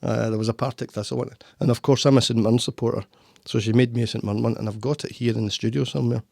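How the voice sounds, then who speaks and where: Gravelly Scottish man hosting a podcast. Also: medium pitch, fairly even intonation, racing, no echo, studio quality. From Scotland. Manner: warm, rambling, talks with frequent restarts. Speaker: podcast host. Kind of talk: casual podcast chat. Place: home studio.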